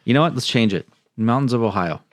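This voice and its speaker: A man's voice: medium pitch, animated, fast, dry recording, clean studio sound. A man hosting a podcast, moving between casual chat and reading out his notes. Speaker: podcast host.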